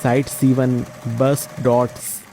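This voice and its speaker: A man's voice: mid-range voice, flat delivery, slow, dark tone, a little hiss or hum. A man reading scripted narration for a cartoon family story.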